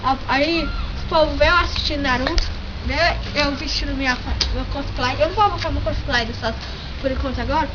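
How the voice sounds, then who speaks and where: A woman with a Brazilian Portuguese accent hosting a playful homemade vlog, joking, swearing and breaking into shouts. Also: high-pitched, a little fast, noisy background. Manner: excitable, jokey, swears and bursts into shouting. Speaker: vlog host. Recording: dull lo-fi home recording with music.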